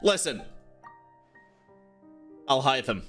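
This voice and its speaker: A man's voice: mid-range voice, very animated, takes it slowly, a bit bright, husky, soft background music. A man reacting to a game as a streamer, with casual, chatty, slangy commentary and some swearing. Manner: chatty, slangy, profane interjections.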